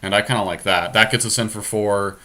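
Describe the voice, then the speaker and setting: A man's voice: on the low side, steady tone, brisk, pushed and bright. A man giving casual gameplay commentary, thinking his strategy aloud in slangy, everyday speech. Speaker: podcast host playing a card game.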